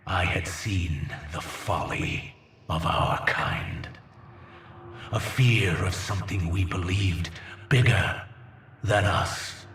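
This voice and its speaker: An actor playing a grave, solemn alien prophet who speaks slowly and ominously. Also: male voice, a bit bright, roomy sound, distant mic, slight background noise, full character voice. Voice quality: deep gravelly voice. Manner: slow, ominous, measured.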